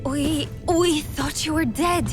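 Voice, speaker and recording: An actress playing a determined, grieving young resistance fighter with a commanding manner in a video game cutscene. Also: female voice, high voice, takes it slowly. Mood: shocked, demanding. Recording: dramatic game music behind.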